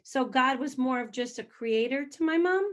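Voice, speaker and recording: Woman singer, high-ish voice, lively hyper storyteller, interview guest. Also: moderate pace. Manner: animated storytelling, self-described hyper. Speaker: singer interviewed about faith. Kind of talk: casual interview answers.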